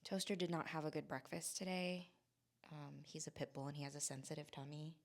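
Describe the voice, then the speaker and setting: A woman from Hawaii, in her forties, talks casually to her camera at home. Her voice sits very low for a woman. She muses and wanders, stopping and restarting her thoughts with plenty of fillers. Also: steady tone. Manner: musing, halting, with many restarts and fillers. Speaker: vlogger. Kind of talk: casual reflective monologue. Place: home in Southern California.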